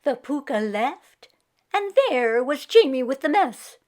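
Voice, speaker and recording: Female children's storyteller, expressive read-aloud narration, slightly high register. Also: takes it slowly.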